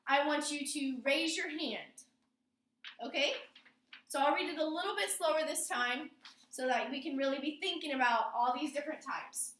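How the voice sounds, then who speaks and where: A woman's voice: high-pitched, bright, forceful tone, slight background noise. A woman teacher leading a classroom lesson and reading poetry aloud, instructing and asking prompting questions in an indoor room. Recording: roomy, echoing classroom sound.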